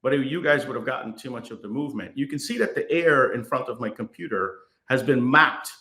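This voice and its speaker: A man from Guyana delivers a remote keynote and walks through a software demo, speaking in a measured way and often pausing on fillers and restarts. His voice has a bright edge, and it comes through a computer mic with noticeable room echo around it. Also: medium pitch. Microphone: computer mic on video call.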